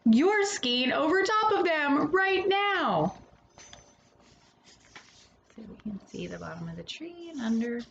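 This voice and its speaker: A woman hosting a storytime, reading a children's picture book expressively with dramatic pauses and casual asides. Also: high-pitched, creaky, dull audio. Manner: animated, dramatic pauses between phrases. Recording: roomy echo with faint background noise.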